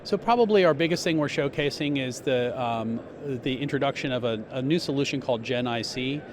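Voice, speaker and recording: A man giving a measured, technical explanation as a company spokesman in a trade show interview. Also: medium pitch, a crowd in the background. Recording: noisy trade show floor.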